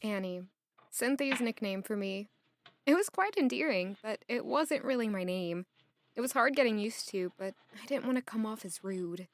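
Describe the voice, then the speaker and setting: A woman's voice: a little high, lively intonation, normal speed, no room sound, close to an everyday voice. A woman playing a polite, reserved young lady in a fantasy audio drama. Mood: reflective.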